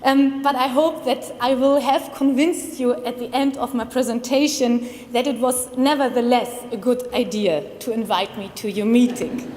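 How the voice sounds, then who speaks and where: A German-accented woman lecturing in a large conference hall. Her voice sits high, and her delivery is formal, careful and academic. Her words ring out with a long, lingering hall reverb. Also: a little mellow, slight background noise. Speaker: conference speaker, criminology researcher.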